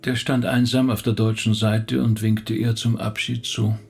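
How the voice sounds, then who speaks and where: Middle-aged Swiss rabbi in a radio play, reserved and courteous, creaky husky voice, medium pitch. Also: male voice, flat delivery, a little slow, heavily acted. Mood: guarded, reflective. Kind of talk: radio drama acting.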